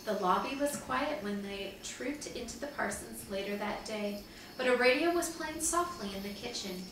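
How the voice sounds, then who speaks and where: A woman in her thirties, a teacher, reading a storybook aloud to children in an expressive way. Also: fairly high pitch, moderate pace. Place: living room at home, indoors. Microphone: far camera. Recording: distant mic, very echoey room, noisy.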